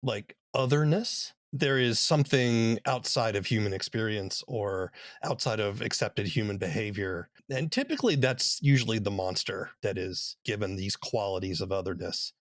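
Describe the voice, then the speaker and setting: A man with a slightly low voice works as the interviewer, speaking conversationally. The sound is very dry, with hardly any room around the voice. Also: moderate pace, few pauses, no echo.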